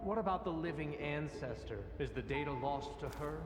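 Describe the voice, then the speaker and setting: A man's voice: a little high, expressive, normal speed, natural voice. A man voicing an insistent young ally. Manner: insistent, polite. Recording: echoey game audio with loud music.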